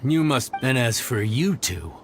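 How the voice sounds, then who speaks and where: An actor playing a smug, menacing thug in a video game, taunting and threatening. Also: male voice, mid-range voice, animated, unhurried, heavy background noise, natural voice. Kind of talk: video game dialogue acting.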